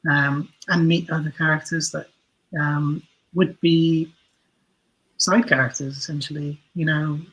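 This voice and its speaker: A man's voice: on the high side, fairly bright tone, breathy edge, low-quality recording. A man being interviewed as an audio drama writer, talking reflectively and a little hesitantly as he thinks aloud.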